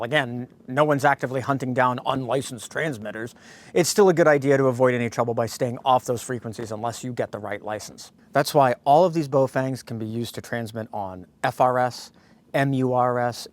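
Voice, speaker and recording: A man presenting an informal product review, addressing viewers directly in a folksy way as a host. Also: mid-range voice. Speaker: host of a radio gear review channel.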